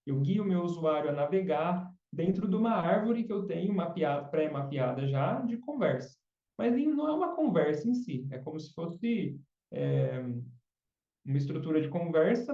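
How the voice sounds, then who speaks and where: A man is being interviewed, explaining things step by step and often tacking a quick question onto the end of a line. His voice is fairly high but mellow and dark in tone. It comes from a little way back from the mic in a roomy space, and the sound is dull. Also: far from the mic. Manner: explains patiently, often adds tag questions. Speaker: podcast guest, chatbot specialist. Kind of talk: casual explanatory interview talk.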